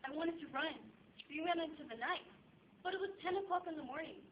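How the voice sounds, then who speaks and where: A woman's voice: brisk, reverberant, close to an everyday voice. A woman performing a comic stage monologue as a chatty, flighty, very young divorcee with dramatic, gushing delivery. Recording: dull, noisy amateur recording with low rumble.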